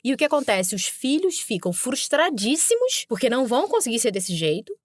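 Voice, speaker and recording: A woman's voice: a little high, very animated, fairly quick, no room sound, clean studio sound. A woman hosting a podcast, giving sarcastic, emphatic commentary on video clips she plays. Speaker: podcast host.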